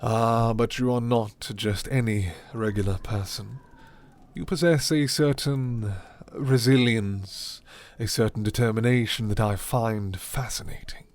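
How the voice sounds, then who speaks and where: A man voicing a suave, commanding vampire lord in an ASMR roleplay, with formal, breathy, half-whispered menace. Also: mid-range voice, unhurried, darkish tone, natural voice. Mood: silky, intrigued.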